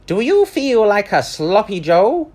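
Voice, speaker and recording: A man's voice: high voice, sing-song, measured pace, a little mellow, voice pushed up, heavily acted. A man voicing a helpful woman serving school lunches, for a children's storybook.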